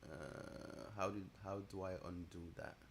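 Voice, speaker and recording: A man with a mixed Nigerian and Italian accent, chatting casually and thinking aloud on a live trading stream, easygoing and quick to laugh. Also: low-pitched, fairly even intonation, creaky, a little hiss or hum. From Nigeria, raised partly in Italy. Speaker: trading livestream host. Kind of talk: casual live-stream chat answering viewers.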